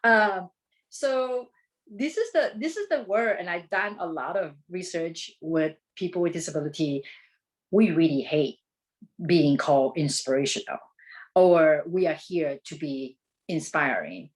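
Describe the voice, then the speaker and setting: Female presenter, Taiwanese accent, slightly low voice. Conversational advocacy talk, halting and informal, with frequent rephrasing. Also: lively intonation, low-quality recording.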